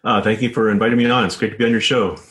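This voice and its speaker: A man's voice: fairly low pitch, fairly even intonation, rapid-fire, a bit bright. An American man answering casually as an interview guest, stumbling over and restarting words as he goes. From Oregon, United States. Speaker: interview guest, journalist and author. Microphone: phone call.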